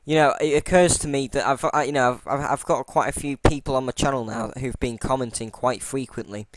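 A man narrates a software tutorial over his screen recording, rambling and circling back with frequent ums and half-started sentences. His voice is smooth and clear, sitting at a middling pitch. The recording is dry and close, with no room sound around it. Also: steady tone, a little fast, no echo, poor audio. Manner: rambling, lots of fillers and restarts; self-deprecating. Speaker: tutorial channel host.

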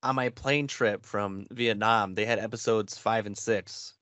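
A man, co-hosting a podcast in a laid-back, halting, conversational way. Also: lowish voice, fairly even intonation, a bit bright, no room sound. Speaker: podcast co-host. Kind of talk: casual chat about video games. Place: home bedroom. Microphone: headset, video call.

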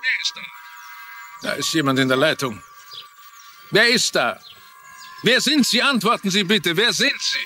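Agitated crime-drama underling, higher-pitched, sharp and very animated. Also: male voice, unhurried, pauses often, soft background music, exaggerated voice. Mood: alarmed. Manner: urgent, insistent. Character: nervous, agitated male gangster associate on the phone. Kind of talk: dubbed TV crime drama acting.

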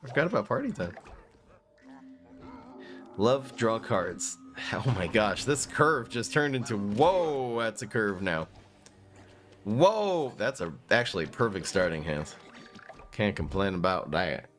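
A man's voice: lowish voice, lively intonation, measured pace, long pauses, a bit bright, soft background music. A man in his thirties casually commentating his card game on a live stream, joking and rambling as he plays. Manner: joking, rambling, often laughs at himself. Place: small room indoors.

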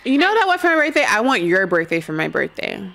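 A woman's voice: medium pitch, very animated, quick pace, vocal fry, a bit bright. A young woman hosting a gaming stream, chatting and joking with friends over a studio mic at home. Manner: joking, laughs often. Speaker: streamer host. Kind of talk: casual chat while gaming. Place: small room at home, purple lights. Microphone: studio mic on arm.

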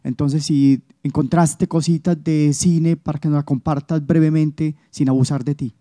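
A man's voice: on the high side, fairly even intonation, normal speed, dark tone. A middle-aged Colombian man courteously commenting and asking a question from the audience into a handheld microphone. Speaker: audience member. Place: auditorium seating.

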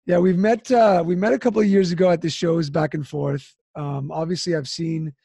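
A man in his thirties hosts a podcast at a close studio mic. His voice is slightly high for a man, with gravelly fry and a husky, mellow tone. The sound is dry and close, and his questions run on in an easy, upbeat way. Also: steady tone, normal speed, no echo. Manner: friendly, upbeat, asks rambling questions. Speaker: podcast host. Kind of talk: interview podcast. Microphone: studio microphone close up.

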